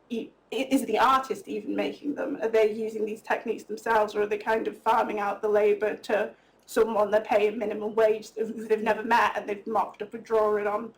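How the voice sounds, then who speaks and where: A woman's voice: on the high side, vocal fry, rough recording. A young woman from North East Wales, an artist speaking on a panel in a chatty way, full of "like" fillers. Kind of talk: casual panel talk.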